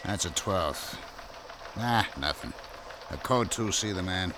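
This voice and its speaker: An actor playing a gruff, world-weary police lieutenant in a radio drama, wry and streetwise. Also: male voice, sits low, lively intonation, slow, background noise, heavily acted. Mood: wry, brusque.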